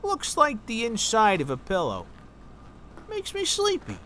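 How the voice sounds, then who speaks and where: An actress playing a drowsy, oblivious and flippant sidekick who bickers back in an audio comedy. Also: female voice, on the high side, wildly swooping pitch, unhurried, a bit bright, slight background noise, exaggerated voice. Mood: sleepy, flippant.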